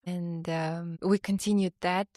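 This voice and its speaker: A middle-aged woman from Kazakhstan, co-hosting a podcast in casual, thoughtful talk with many pauses and fillers. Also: fairly low pitch, fairly even intonation, dark tone, dry recording, studio quality. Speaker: podcast co-host. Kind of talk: casual chat.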